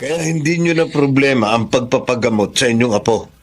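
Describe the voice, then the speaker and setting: A man's voice: mid-range voice, fairly quick, natural voice. A man in a Filipino radio drama playing a kindly, hearty village chairman, genial and polite. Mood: kindly, reassuring.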